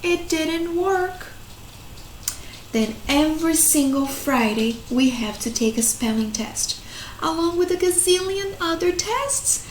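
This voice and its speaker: A woman voicing a grumpy, whiny young schoolboy from a storybook, with exaggerated, drawn-out complaints. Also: very high-pitched, animated, heavily acted. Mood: exasperated. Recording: echoey room, faint noise.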